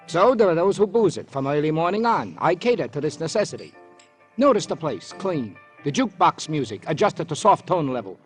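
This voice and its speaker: A fussy preachy bar owner, old radio crime show, kinda high voice that swings around, pompous. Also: male voice, big pitch swings, fairly quick, soft background music. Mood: self-righteous, insistent. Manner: pompous, long-winded, speaks in formal inverted phrases. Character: fussy, self-righteous male bar owner who disdains drinkers. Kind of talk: 1950s American radio crime drama acting.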